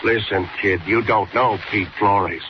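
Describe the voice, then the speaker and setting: A man's voice: fairly low pitch, steady tone, unhurried, lightly acted. An actor playing a tough, impatient jailbird gangster with clipped wise-guy slang in a 1940s radio drama. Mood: scheming, confident. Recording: dull, noisy old radio transcription.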